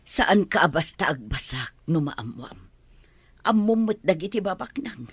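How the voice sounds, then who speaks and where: A woman playing a fretful, loving older mother in an audio drama, with a husky, breathy voice. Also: on the low side, sing-song, unhurried, no echo, heavily acted. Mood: fearful, tender.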